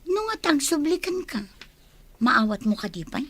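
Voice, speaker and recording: High-pitched, nosy aunt played by a woman. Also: sing-song, measured pace, fairly bright tone, exaggerated voice. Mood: prying. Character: nosy, outspoken aunt gossiping about family troubles. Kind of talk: Filipino radio comedy-drama acting.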